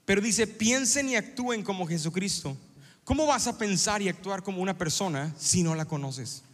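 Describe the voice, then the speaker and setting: A middle-aged pastor preaches with a high, bright, forceful voice, joking and acting out little scenes for his congregation. His words ring with a long reverb through a church sanctuary. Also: expressive, normal speed. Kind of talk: sermon.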